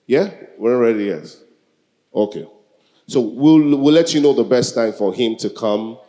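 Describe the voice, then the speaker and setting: A man's voice: mid-range voice, lively intonation, a little slow, warm tone. A man in his early forties with a Nigerian accent, hosting a live event with joking, improvised banter and questions to the crowd. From Lagos, Nigeria. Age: early 40s. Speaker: event co-host, master of ceremonies.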